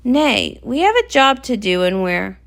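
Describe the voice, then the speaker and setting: A woman's voice: fairly high pitch, sing-song, a little slow, gravelly, exaggerated voice. A woman voicing a strong male pony guard, an earnest and determined hero, in a storybook read-aloud. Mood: resolute.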